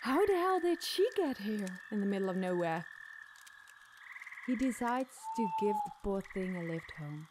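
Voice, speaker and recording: A woman's voice: medium pitch, lively intonation, moderate pace, noisy background. A woman reading a scripted scary campfire story as a podcast storyteller.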